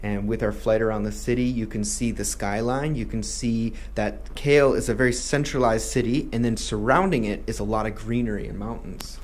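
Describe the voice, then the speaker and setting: A man's voice: fairly low pitch, normal speed, few pauses, a little hiss or hum. Friendly man explaining a tour. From Malaysia. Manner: friendly explaining, with a few restarts. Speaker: tour company host. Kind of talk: promotional Q&A.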